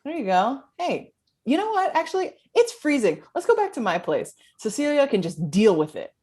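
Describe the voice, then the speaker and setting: A woman's voice: sits high, big pitch swings, moderate pace, darkish tone, husky, full character voice. Woman playing a swooping, brash amateur private eye. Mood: pushy, then joking. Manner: brash, pushy, emphatic. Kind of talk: table read of a comedy script.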